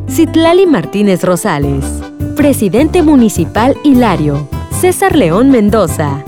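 A woman announcer with lively, varied intonation reads scripted credits. Music plays clearly behind her. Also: medium pitch.

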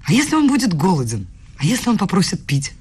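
A young woman student in a radio play, earnest and a little bossy. Her voice is husky and breathy, her delivery brisk and insistent. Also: on the low side, wildly swooping pitch, darkish tone, heavily acted. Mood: scolding, anxious. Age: young adult.

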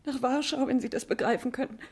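An actress playing a frightened, naive nursing nun in a 1950s radio play, breathy, faltering and fretful. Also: female voice, sits high, steady tone, fast, darkish tone. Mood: distraught, fearful.

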